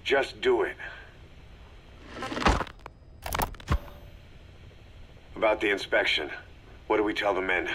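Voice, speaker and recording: A man playing a gruff, stoic, terse mercenary commander in a video game audio drama, with a gravelly, husky voice. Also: lowish voice, brisk, noisy background, lightly acted. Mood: stern, weary.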